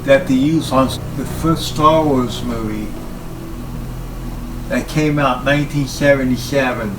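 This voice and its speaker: A man giving an informal, halting talk about equipment as a hobbyist presenter, speaking in short phrases. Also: medium pitch, background noise. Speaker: hobbyist presenter showing his tape machines.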